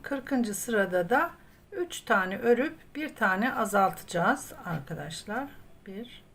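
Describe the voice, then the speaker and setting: A woman hosting a step-by-step craft tutorial, talking as she works and addressing viewers as friends. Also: medium pitch. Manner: counts stitches aloud, addresses viewers as friends. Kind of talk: step-by-step crochet tutorial narration while working. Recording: clear background noise, room ambience.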